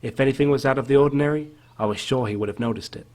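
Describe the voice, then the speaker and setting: A man's voice: medium pitch, rapid-fire, darkish tone, natural voice. A man acting as an uneasy, haunted game protagonist, narrating his own mystery story in scripted first person. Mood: uneasy narration. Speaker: narrator and main character of a game.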